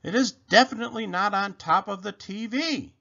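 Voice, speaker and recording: A man voicing an excitable, fussy rabbit who panics easily, whiny and dramatic, for a children's storybook. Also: extremely high pitch, normal speed, muffled recording, pitched above the performer's own voice, full character voice. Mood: panicked.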